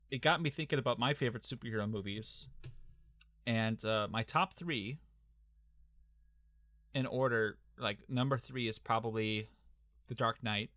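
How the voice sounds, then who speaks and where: Brief: young Midwestern male co-host, mid-pitch, stop-start delivery packed with "uh"s, dry close-miked sound. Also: fairly bright tone, no echo. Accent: Midwestern American. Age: young adult. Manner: halting, many fillers and restarts. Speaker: podcast co-host. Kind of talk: casual movie podcast chat.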